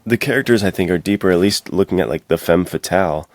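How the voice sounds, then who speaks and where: A man's voice: deep voice, fast, no room sound. A man co-hosting a podcast, talking through film in a casual, thoughtful, conversational way. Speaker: podcast co-host.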